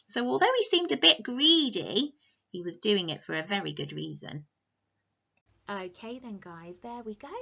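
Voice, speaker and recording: Animated woman reading to young children. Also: high-pitched, gravelly. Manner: sing-song, encouraging, asking the listeners questions. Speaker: teacher reading a picture book to young children. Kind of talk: interactive children's storytime.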